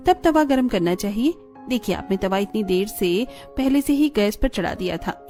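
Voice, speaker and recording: A woman's voice: on the high side, mellow, lightly acted. A woman playing a sensible, instructive elder sister-in-law in a cartoon family drama.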